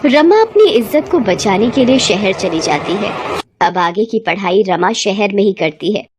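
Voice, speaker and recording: A woman's voice: medium pitch, animated, measured pace, noisy background. A woman narrator reading a scripted moral bedtime story.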